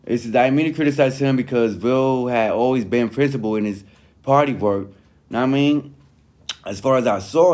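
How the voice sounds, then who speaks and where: A man with a mid-pitched voice reads aloud and breaks off to comment in his own words. His delivery is informal and full of street slang, with frequent little check-in phrases aimed at the listener. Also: darkish tone. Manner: street-slang, conversational, peppered with "you know what I mean". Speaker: online commentator reviewing a written statement.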